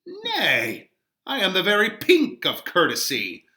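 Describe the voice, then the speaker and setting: Man playing a witty young gentleman, theatrical Shakespeare, teasing, emphatic, very bright, wide swings in pitch. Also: wildly swooping pitch, takes it slowly, heavily acted. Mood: playful, boastful. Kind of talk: dramatic Shakespeare reading.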